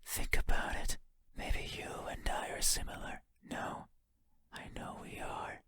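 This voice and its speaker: Whispering, lonely monster in the dark. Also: male voice. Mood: eerie musing. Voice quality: breathy, unvoiced whisper. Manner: halting, intimate, musing, formal phrasing. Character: lonely wordless monster lurking in the dark, yearning, eerie. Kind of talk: video game horror monologue.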